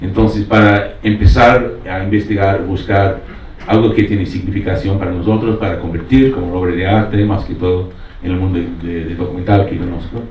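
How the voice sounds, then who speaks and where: A man's voice: deep voice, fairly even intonation, moderate pace, a little mellow. A man who is not a native speaker, talking reflectively on a panel as a documentary filmmaker, hesitantly searching for words. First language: not Spanish. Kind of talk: reflective panel talk with personal account. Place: roomy panel venue. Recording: echoey, distant mic, dull, faintly noisy.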